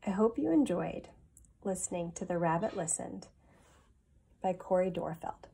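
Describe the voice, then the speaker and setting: A woman in her thirties, a teacher giving an expressive, deliberate read-aloud of a children's picture book. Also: fairly low pitch, creaky, husky.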